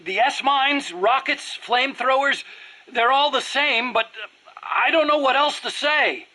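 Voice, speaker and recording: A man's voice: very high voice, measured pace, pushed and bright, exaggerated voice, low-quality recording. A man playing an earnest, nerdy American weapons engineer in a video game, eager to explain things in precise detail. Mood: anxious, urgent. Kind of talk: video game dialogue acting.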